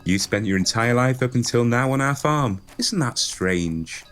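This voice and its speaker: A naive young farm lad in a pantomime radio play. He is earnest and soft-hearted, with a mid-pitched voice that rises and falls animatedly. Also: expressive, measured pace, faint music behind. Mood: tender. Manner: earnest, guileless, affectionate. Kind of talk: audio pantomime acting.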